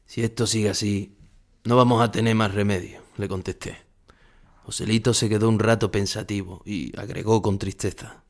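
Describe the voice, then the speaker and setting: Casting: low-voiced Spanish male actor for an older bullfighter haunted by grief, solemn first-person radio drama narration. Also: steady tone, normal speed, close to an everyday voice. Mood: solemn, reflective. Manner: solemn, emotional storytelling. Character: famous older bullfighter, grief-stricken, remembering his dead rival. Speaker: narrator playing a bullfighter recalling the past.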